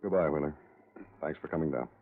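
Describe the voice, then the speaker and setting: An American actor playing a gruff, curt, businesslike state police captain in 1950s radio crime drama. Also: male voice, rumbling low, quick pace. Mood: curt, dismissive. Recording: thin, narrow-band old radio recording.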